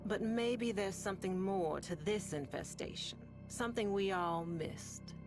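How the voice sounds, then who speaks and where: Slow, wry sci-fi underground radio host played by a woman. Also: medium pitch, music in the background, natural voice. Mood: ominous storytelling. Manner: deliberate, wry, ominous, with long pauses. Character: sardonic world-weary female underground radio host in a sci-fi game. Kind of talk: slow dramatic monologue to listeners, storytelling.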